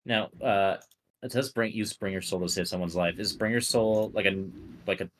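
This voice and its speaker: A man's voice: lowish voice, normal speed, a bit bright, slight background noise. A man chatting casually as a player in a tabletop roleplay game, hesitant and restarting his phrases.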